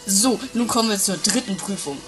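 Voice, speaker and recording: A woman playing an earnest, slightly pompous instructor who speaks in a halting, placating way in an improvised comic radio play. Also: mid-range voice, expressive, music in the background, lightly acted. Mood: patient, insistent.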